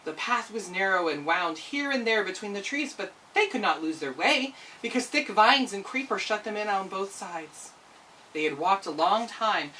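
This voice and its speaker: A woman reading a children's story aloud in an expressive narrating style. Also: mid-range voice, far from the mic, a little hiss or hum, low-quality recording. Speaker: storytime reader.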